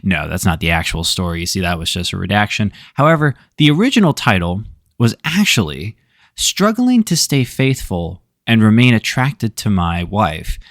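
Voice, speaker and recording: A man's voice: fairly low pitch, no echo. Wry man narrating, close dry sound. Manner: wry, sarcastic asides while narrating. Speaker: storyteller host. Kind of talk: reading Reddit stories aloud with commentary.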